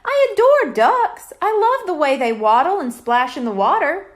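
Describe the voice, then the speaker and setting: Enthusiastic storybook mom, acted by a woman reader, high and lively, with emphatic delivery. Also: normal speed. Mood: gushing. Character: enthusiastic mother, gushing and thirsty.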